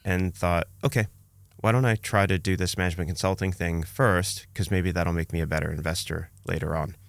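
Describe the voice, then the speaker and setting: A man's voice: low-pitched, fairly even intonation, brisk, gravelly, no room sound. A man, telling his own story conversationally as a podcast guest. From Canada, born in Romania.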